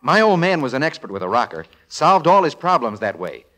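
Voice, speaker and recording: An actor playing a polite, earnest young sailor with an American accent and folksy manner, in 1940s radio comedy. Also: male voice, medium pitch, very animated, a little fast, a bit bright. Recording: slightly noisy old radio recording.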